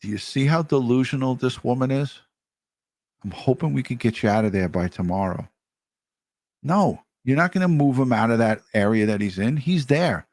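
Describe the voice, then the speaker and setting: A man's voice: mid-range voice, expressive, normal speed, a little mellow, no room sound. A man hosting a commentary show, speaking off the cuff in a scornful, sarcastic way. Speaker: show host commenting on a recorded call.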